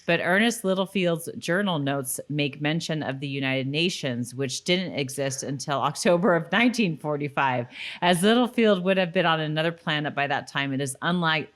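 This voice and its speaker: A woman's voice: low voice, expressive, moderate pace, hardly any pauses. A woman co-hosting a podcast, chatting casually and reading notes aloud in an informal, slightly stumbling way. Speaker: podcast co-host.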